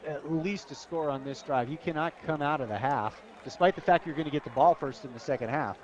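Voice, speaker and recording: Fairly high-voiced American football color commentator, male. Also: fast talker, a little mellow. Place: stadium press booth, radio broadcast. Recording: muffled broadcast audio.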